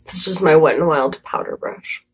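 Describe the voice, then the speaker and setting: A woman vlogger talks her way through a makeup routine in a slightly low, husky voice. It sounds scratchy and a little hoarse today. She rambles casually, full of fillers and side remarks. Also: normal speed. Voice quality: scratchy, raspy voice. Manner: chatty, rambling asides with many fillers. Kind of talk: casual makeup tutorial chat.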